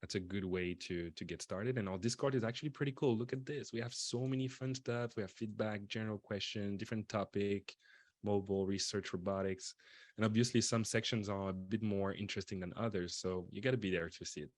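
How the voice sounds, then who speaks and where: A man's voice: lowish voice, steady tone, fairly quick, no echo. A man hosting a podcast on a video call, talking in an upbeat, easygoing way. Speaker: podcast host. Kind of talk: casual video call chat.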